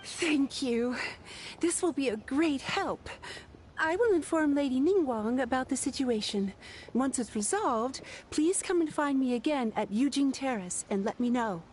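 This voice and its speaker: Formal, high-voiced woman scout. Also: expressive, a little slow, darkish tone, a little hiss or hum. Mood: grateful, brisk. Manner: formal, precise. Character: dutiful female scout, formal, earnest and deferential. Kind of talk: anime game voice acting.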